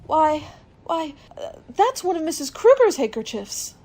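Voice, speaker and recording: A woman's voice: high voice, sing-song, a little slow, darkish tone, full character voice. A woman acting an eager, naive young female assistant in a radio mystery play, deferential to her employer.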